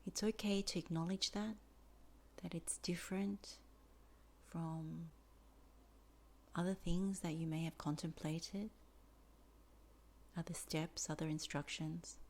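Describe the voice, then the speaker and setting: A woman teaching a guided meditation in soft, deliberate phrases with many pauses and a breathy fall at phrase ends. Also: lowish voice, steady tone.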